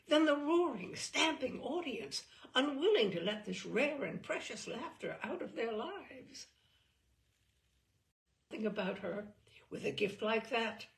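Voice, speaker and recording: Weary, regretful mother performed by a woman for literary storytelling: deliberate, intense delivery, unhurried, with heavy vocal fry. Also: on the high side, voice pushed up, full character voice. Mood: intense, proud. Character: weary, regretful working mother confiding about her daughter. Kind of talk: dramatic first-person short story reading.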